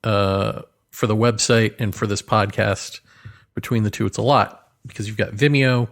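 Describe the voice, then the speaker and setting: A man's voice: on the low side, steady tone, normal speed. A man hosting a solo podcast in a casual, rambling way, hesitant as he thinks aloud, with a wry, self-deprecating manner. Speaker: podcast host and author.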